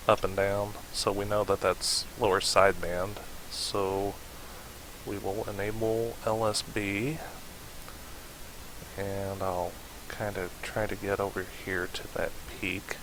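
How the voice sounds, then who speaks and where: A man narrating an unscripted software tutorial in an informal, hesitant way, as if thinking aloud. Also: lowish voice, flat delivery, slow pace, poor audio. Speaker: tutorial presenter. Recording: hissy home voice-over recording.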